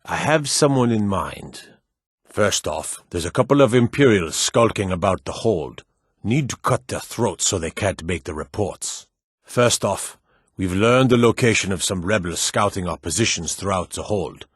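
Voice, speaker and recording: An actor playing a brusque soldier officer in a fantasy video game, giving terse, commanding, businesslike briefings. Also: male voice, sits low, expressive, normal speed, slightly rough, no echo, studio quality. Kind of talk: scripted video game dialogue.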